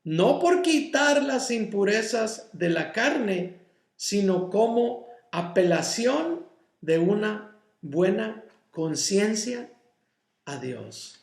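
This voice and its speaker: A male pastor teaching a Bible study sermon, a native English speaker, deliberate and often checking in with "ok?" and slipping in English words. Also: sits high, very animated, creaky, echoey room. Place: church.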